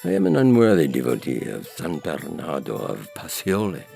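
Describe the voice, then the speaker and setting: A man playing a smooth, calculating Creole go-between who speaks in formal, measured phrases in an audio story. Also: very deep voice, huge pitch swings, dark tone, faint music behind, exaggerated voice. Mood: persuasive. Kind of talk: audio fiction character reading.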